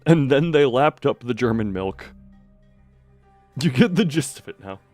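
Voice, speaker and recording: A man's voice: medium pitch, animated, unhurried, darkish tone, faint music behind. A man hosting a livestream, rambling casually and jokingly as he goes off on tangents and talks back to chat. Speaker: livestream host, streamer.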